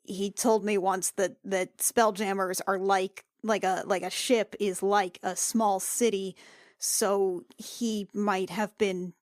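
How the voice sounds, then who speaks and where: A woman playing an anxious young medic in a tabletop game, halting and stammering, repeating her words. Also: medium pitch, little pitch movement, no echo, clean studio sound, natural voice. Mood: urgent insistence. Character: rattled, anxious young woman medic and ship's crew member.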